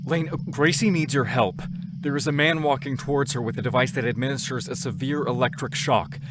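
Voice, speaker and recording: An actor playing an earnest, literal-minded non-human helper in an audio drama, speaking in formal, precise phrases. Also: male voice, mid-range voice, fairly quick, close to an everyday voice. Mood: earnest warning. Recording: noisy ambient background.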